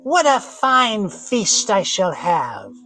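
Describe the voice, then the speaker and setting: A man's voice: high voice, huge pitch swings, slow pace, sharp tone, dry recording, faint music behind, voice pushed up, full character voice. A man voicing a wicked old witch woman in a storybook, thin-voiced, spiteful and falsely sweet. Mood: gloating. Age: older.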